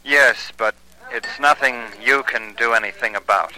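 An actor playing a mild, awkward young man who speaks haltingly in a 1940s radio drama. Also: mid-range voice, heavy background noise, close to an everyday voice. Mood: hesitant. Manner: hesitant, halting.

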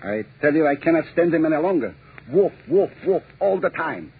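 An actor playing an excitable, proud but cowardly male violinist in a 1940s radio drama, agitated and prone to repeating himself. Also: on the high side, normal speed, husky, exaggerated voice. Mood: indignant. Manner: agitated, indignant, stammering, repetitive. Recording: tinny, hissy 1940s radio recording.

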